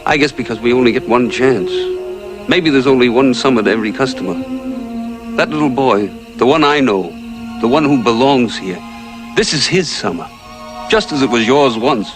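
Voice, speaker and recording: An actor playing a stern yet loving older father in a television drama, speaking deliberately and earnestly. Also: medium pitch, expressive, close to an everyday voice. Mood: firm, tender. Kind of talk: mid-century TV drama acting. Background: dramatic music.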